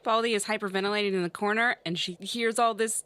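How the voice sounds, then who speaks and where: A woman's voice: medium pitch, steady tone, fast talker, fairly bright tone, no room sound. Woman narrating her tabletop game character. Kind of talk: improvised third-person character narration.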